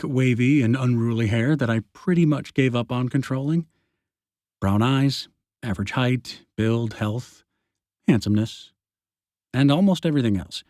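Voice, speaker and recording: A man's voice: medium pitch, darkish tone, dry recording, studio quality. A man narrating a first-person science fiction audiobook in a wry, sarcastic, conversational way.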